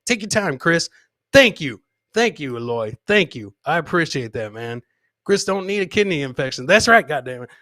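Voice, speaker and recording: A man's voice: on the high side, big pitch swings, normal speed, pushed and bright, no echo, studio quality. Rambling, sweary man hosting a comedy podcast. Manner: rambling, swearing, showman-like addresses to audience. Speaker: podcast host. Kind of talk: casual live show banter.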